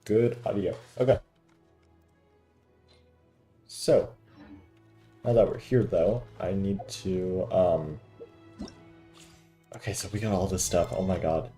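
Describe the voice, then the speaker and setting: Male gaming streamer, low and very dark-toned, casual thinking-aloud commentary over game music. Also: slow pace, pauses often.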